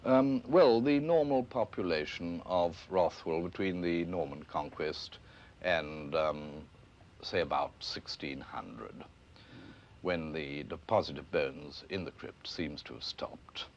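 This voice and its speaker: A middle-aged English man, a scholar being interviewed, explaining in a halting, hesitant but precise manner. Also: low voice, slight background noise. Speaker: academic anthropologist being interviewed. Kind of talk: unscripted expert explanation. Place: stone church crypt. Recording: dull, band-limited archive film sound.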